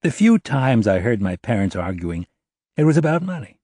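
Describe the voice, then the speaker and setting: A man's voice: medium pitch, sing-song, normal speed, dark tone, dry recording, clean studio sound. A man voicing a defensive, suspicious young man being questioned by police, curt and guarded, in an audiobook. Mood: guarded, conceding. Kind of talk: audiobook character dialogue.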